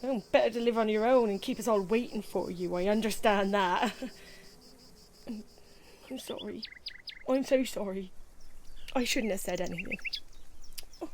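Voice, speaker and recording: A woman's voice: fairly high pitch, animated, unhurried, long pauses, darkish tone, slight background noise. A woman playing an anxious, jealous older wife in an audio drama, fretful and pleading. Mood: jealous, apologetic.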